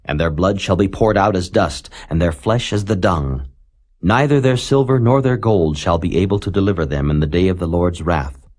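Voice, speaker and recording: Deep-voiced man reading scripture solemnly. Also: a little mellow. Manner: solemn, measured, dramatic rises on warnings. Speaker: Bible reader.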